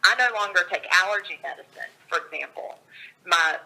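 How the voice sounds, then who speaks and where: A woman's voice: fairly low pitch, fairly quick, gravelly, pushed and bright. Upbeat woman, slightly scratchy voice. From Georgia, USA. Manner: upbeat and enthusiastic, laughs often. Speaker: author and guest. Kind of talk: interview over a call.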